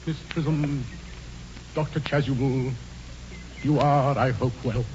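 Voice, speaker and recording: An actor playing an earnest, slightly flustered English gentleman in a 1940s radio comedy, formal and clipped. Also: medium pitch, fairly even intonation, slow pace, lightly acted. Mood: mock mourning. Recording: dull, noisy 1940s radio broadcast.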